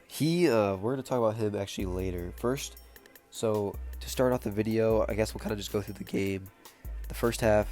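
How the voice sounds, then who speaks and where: A man's voice: lowish voice, darkish tone. A young man with a bad cold and stuffed-up nose, casually recapping a football game with lots of fillers. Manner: casual, rambling, many fillers. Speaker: sports podcast host.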